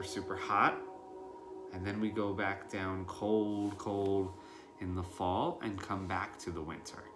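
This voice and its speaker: Man with a lively, unhurried teacherly delivery. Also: on the low side. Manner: addresses small children directly with questions and simple explanations. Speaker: preschool teacher reading aloud to young children. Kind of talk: children's picture book read-aloud with casual asides. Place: living room at home, indoors.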